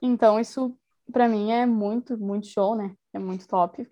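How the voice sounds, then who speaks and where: A woman's voice: mid-range voice, steady tone, moderate pace, dull audio. A young woman talking casually as an interviewee, chatty and enthusiastic, with many small fillers. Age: young adult. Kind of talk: casual conversation.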